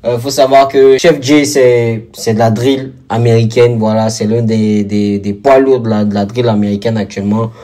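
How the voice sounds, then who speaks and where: A man hosting a music reaction show with casual, excited commentary, repeating words and phrases for emphasis. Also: medium pitch, normal speed, hardly any pauses. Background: rap music playing faintly.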